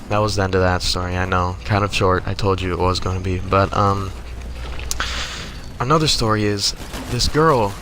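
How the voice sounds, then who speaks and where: A young American man telling casual comic stories over gameplay in a profane, exasperated, conversational way. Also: fairly low pitch. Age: young adult. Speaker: gaming video creator. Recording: noticeable background noise.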